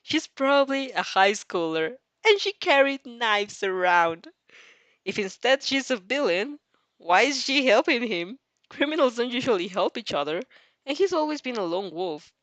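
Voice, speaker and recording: A woman reads a story aloud with a very lively, swinging intonation. She is giggly and stumbles here and there as she reacts to the text, in a dry, close sound. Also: on the high side, normal speed, no room sound. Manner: giggly, stumbles over words. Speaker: fan fiction reader reacting as she reads. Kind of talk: expressive story reading with amused reactions.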